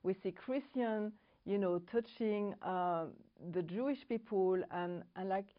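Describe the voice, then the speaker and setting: A middle-aged woman from France speaks English with a clear French accent, pausing to hunt for the right word. She presents to camera in a studio on a lapel mic, and the sound is a bit dull and lo-fi. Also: mid-range voice. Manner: halting, searching for English words. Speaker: co-host. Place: studio set with bookshelves.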